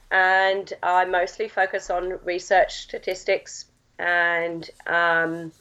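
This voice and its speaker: A woman from England with a husky voice, chatting as a guest and explaining at length with many fillers. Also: on the low side, fairly bright tone. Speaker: guest, health science lecturer. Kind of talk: phone-in podcast interview. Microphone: phone line. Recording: dull lo-fi phone line.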